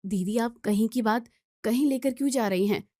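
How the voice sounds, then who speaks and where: A woman's voice: a little high, normal speed, mellow, no echo, clean studio sound, close to an everyday voice. A woman voicing a polite, sensible younger sister-in-law in a family story, placating and reasoning.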